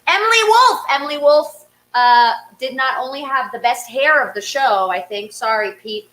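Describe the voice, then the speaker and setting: A woman's voice: high voice, animated, moderate pace, a bit bright, low-quality recording. A woman hosting a variety show in a casual, effusive, exclamatory way. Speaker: host of a livestreamed variety show.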